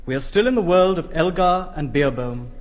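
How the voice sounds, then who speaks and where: A man playing a solemn, elegiac narrator of history with an English accent, giving measured, orotund scripted narration in a radio play. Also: fairly high pitch, expressive, close to an everyday voice. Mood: solemn, nostalgic.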